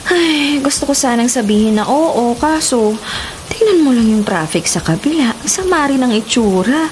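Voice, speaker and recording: A woman's voice: a little high, lively intonation, moderate pace, darkish tone, slight background noise, natural voice. A woman playing a determined, wry travel vlogger in her late twenties in a radio drama, with a Filipino accent. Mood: resigned, practical.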